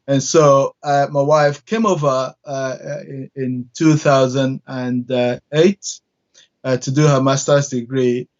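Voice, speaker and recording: A middle-aged man from Nigeria, a church minister, telling his story in an interview with many pauses and fillers. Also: medium pitch, fairly even intonation, dull audio.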